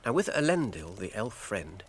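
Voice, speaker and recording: An actor playing an anxious, earnest young hobbit in a radio drama, hesitant and British-accented. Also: male voice, fairly low pitch, big pitch swings, normal speed, a little hiss or hum.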